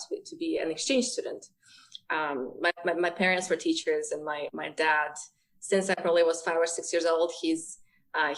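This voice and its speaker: Woman from Ukraine, lower voice. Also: poor audio. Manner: open, storytelling, some fillers. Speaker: program manager, guest. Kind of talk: interview answers.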